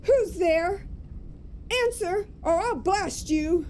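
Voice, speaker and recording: Woman acting very high-pitched plucky heroine, music behind. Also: slow, darkish tone. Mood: scared, defiant. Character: bold female magazine reporter, scared but defiant. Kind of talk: audio drama acting.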